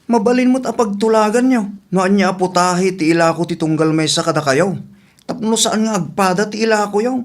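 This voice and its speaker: A man playing a calm, teasing adult son who talks soothingly to his mother in a radio comedy drama. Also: high-pitched, brisk, lightly acted. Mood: concerned, placating.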